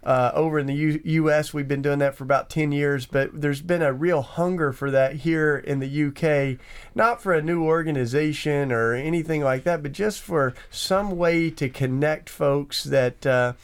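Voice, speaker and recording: A man with a smooth, clear voice pitched a little high co-hosts the show from a radio studio. He talks with eager enthusiasm and circles back through filler words and restarts. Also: moderate pace. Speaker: podcast co-host. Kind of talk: casual podcast hosting.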